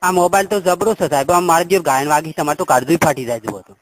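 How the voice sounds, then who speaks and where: A man's voice: fairly high pitch, fast. A man with a rural Gujarati accent making a prank phone call as a customer, talking in a rustic, rambling, naively pushy way. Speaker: customer calling a helpline. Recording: noisy phone-call recording with clicks.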